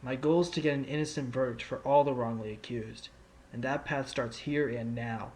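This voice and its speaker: A man's voice: mid-range voice, steady tone, normal speed, darkish tone, lightly acted, rough recording. A man playing a sly, laid-back fox, an ex-con turned rookie lawyer, in an amateur audio drama, with a wry, casual, teasing delivery. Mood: reflective, confident. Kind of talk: amateur audio drama with first-person narration. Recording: music bed under the voice.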